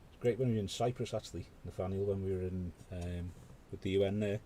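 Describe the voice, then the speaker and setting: A man's voice: sits low, fairly even intonation, fast talker, warm tone, slight background noise. A British man hosting a paint-along stream, chatting casually with rambling asides. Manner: rambling, conversational, full of asides. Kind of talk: casual chat while painting.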